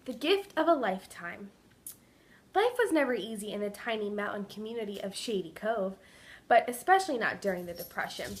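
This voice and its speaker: A woman giving a dramatic reading of a children's story, presenting it like a student with expressive, deliberate delivery. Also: mid-range voice, a little hiss or hum.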